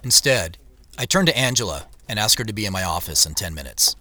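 An actor playing a measured, wry tech company boss who narrates an audio drama in the first person. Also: male voice, on the low side, expressive, sharp tone. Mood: wry, reflective. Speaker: narrator and lead character.

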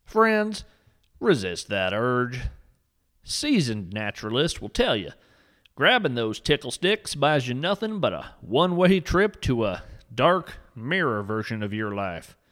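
Male narrator, unhurried, hugely expressive pitch, earnest mock-solemn tone for whimsical scripted fiction. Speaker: podcast host and narrator.

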